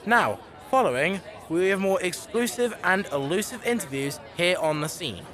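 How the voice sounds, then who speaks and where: A man's voice: high voice, animated, moderate pace, crowd noise. A young man playing an earnest, formal TV news interviewer in a radio-style drama. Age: young adult. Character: earnest male TV news interviewer on crisis show.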